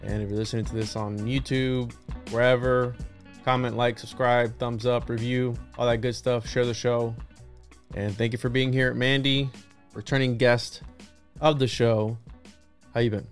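A man's voice: mid-range voice, level tone, normal speed. Male podcast host with music behind. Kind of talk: casual podcast talk.

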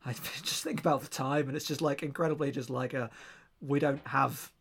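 A man's voice: medium pitch, steady tone, normal speed, a bit bright, breathy edge. A man co-hosting a podcast, talking casually and earnestly with a rambling, joking manner. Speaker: podcast co-host.

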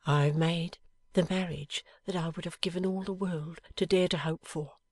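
A woman reads a devoted young wife in an audiobook, gentle and earnest in a calm, loving voice. Her voice is slightly breathy, with a dry, close sound, and it is a touch higher than the reader's narration. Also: moderate pace, no echo. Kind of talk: audiobook character voice.

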